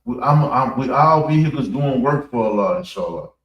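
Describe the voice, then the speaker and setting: An American man giving an off-the-cuff religious address, halting and repeating phrases for emphasis. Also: medium pitch, expressive, lo-fi sound. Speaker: preacher giving a public address at a mosque. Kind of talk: extemporaneous religious talk. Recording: echoey room, distant mic.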